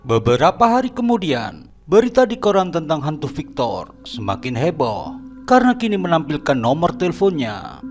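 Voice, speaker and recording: Male narrator, scripted horror storytelling, lively pitch, strong music behind. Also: normal speed. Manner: dramatic storytelling. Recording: narration over background music.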